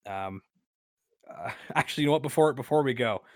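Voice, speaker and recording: A man's voice: mid-range voice, darkish tone, no echo, clean studio sound. Young man rambling through a story. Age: early 20s. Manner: rambling, halting, repeats phrases, frequent swearing. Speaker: podcast host. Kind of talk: casual podcast storytelling.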